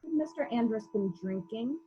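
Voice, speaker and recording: A woman playing a brisk, suspicious police investigator questioning witnesses in a dramatic murder mystery reading. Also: on the high side, animated, warm tone, breathy edge, lo-fi sound. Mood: sceptical questioning. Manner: probing, businesslike, sceptical. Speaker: library staff member reading a part.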